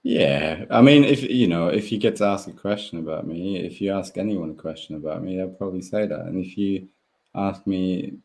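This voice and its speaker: Deep-voiced German-native man, rambling. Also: moderate pace, a little mellow. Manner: rambling, full of fillers and restarts. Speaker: guest speedway rider. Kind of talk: casual live interview.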